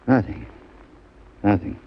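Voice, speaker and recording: A man's voice: slow, natural voice. An actor playing a big, shady man in his forties, edgy and insistent, in an old radio mystery style. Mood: furtive, pleading. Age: 40s. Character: big, nervous, sweating pool-parlor owner hiding guilt, turns menacing. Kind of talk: 1940s-50s radio mystery acting. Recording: muffled vintage radio recording.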